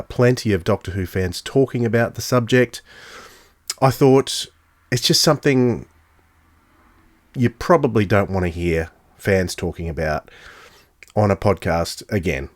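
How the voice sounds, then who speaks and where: A man's voice: fairly low pitch, dry recording. A man with an Australian accent casually hosting a podcast and speaking to the listeners. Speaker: podcast host, editor.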